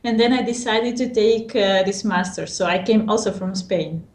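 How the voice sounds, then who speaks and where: A woman's voice: mid-range voice, a little mellow. A woman in her thirties with a Russian accent, speaking hesitantly in a casual interview. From Siberia, Russia. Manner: hesitant, fills pauses with "uh" and "well". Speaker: master's graduate. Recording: echoey room.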